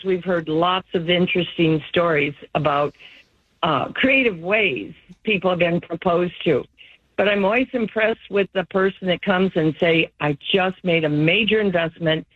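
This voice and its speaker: A woman with a hoarse, scratchy voice calling in to a radio show, speaking in a halting way. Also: lowish voice, no echo. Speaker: insurance agent guest. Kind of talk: phone-in chat. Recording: phone line.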